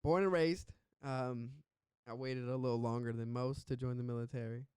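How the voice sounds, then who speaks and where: Man from Louisiana, smooth mid-pitched voice, dry close sound, playful and teasing. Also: steady tone, normal speed, darkish tone, no room sound. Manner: playful, laughs and teases. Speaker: podcast guest. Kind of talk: casual chat.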